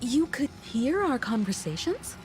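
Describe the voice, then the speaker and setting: An actress playing a caring, trusting woman of a ship's crew in a video game, gentle and expressive. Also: fairly high pitch, unhurried, noisy background. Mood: earnest. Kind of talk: dramatic video game voice acting. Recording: game dialogue over clear background music.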